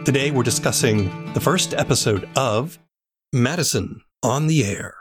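A man hosting a podcast, reading a measured scripted intro and asking interview questions. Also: mid-range voice, lively intonation, music in the background. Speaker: podcast host.

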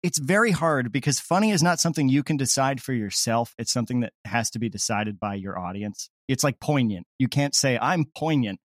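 A wry man talking casually as an interview guest. His voice sits at a middle pitch, and it is recorded dry and close. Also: lively intonation, a little fast, few pauses, no echo. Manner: wry, joking. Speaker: comedy podcast creator.

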